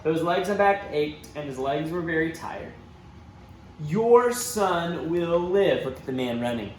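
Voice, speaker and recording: Very animated man reading a children's story. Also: fairly high pitch, fairly quick. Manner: expressive, dramatic emphasis with asides to young listeners. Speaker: church children's storybook reader. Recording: roomy echo, faint background noise.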